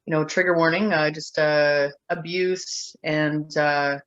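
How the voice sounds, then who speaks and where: A middle-aged woman from Ontario tells her own story as an interview guest. She talks haltingly, with many fillers and restarts. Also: low-pitched, fairly even intonation, no room sound, muffled recording. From Ontario, Canada. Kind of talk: candid personal storytelling.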